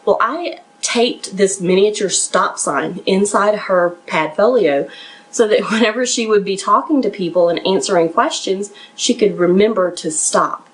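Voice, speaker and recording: Woman podcast coach giving practical tips, medium pitch, chatty and encouraging, a little nervous. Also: a little slow, poor audio. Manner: somewhat nervous. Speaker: professional resume writer and interview coach hosting a podcast. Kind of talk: conversational instructional talk.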